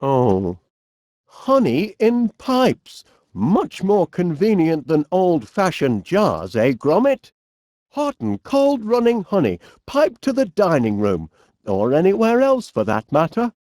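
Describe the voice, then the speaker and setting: Eccentric cartoon inventor, middle-aged, Northern English accent, hugely animated intonation, slow pace, cheerful, quaintly polite, dithering. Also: male voice, sits high, dry recording, studio quality, heavily acted. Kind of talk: cartoon video game voice acting.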